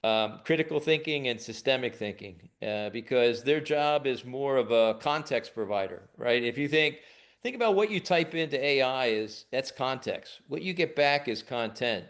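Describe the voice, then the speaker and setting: A middle-aged American man, a leadership expert, answering questions in a webinar interview in a relaxed, conversational way with frequent restarts. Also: medium pitch, normal speed. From United States.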